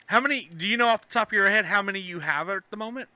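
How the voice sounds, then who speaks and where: A man's voice: high voice, very fast, pushed and bright, no room sound. A man hosting a podcast in casual banter, joking and teasing as he goes. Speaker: podcast host.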